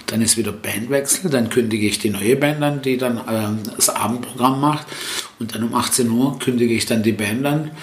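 A man's voice: medium pitch, moderate pace. Italian-born man, rambling and roomy-sounding. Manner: rambling, lots of fillers and self-corrections, tag "ne?". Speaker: festival tent jester and stage host. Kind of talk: relaxed interview answers. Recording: roomy echo with faint background noise.

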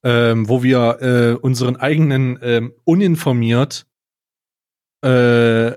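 A young man co-hosting a podcast, giving blunt, casual opinions in slangy words with halting starts. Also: mid-range voice, fairly even intonation, darkish tone, smooth. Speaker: podcast co-host.

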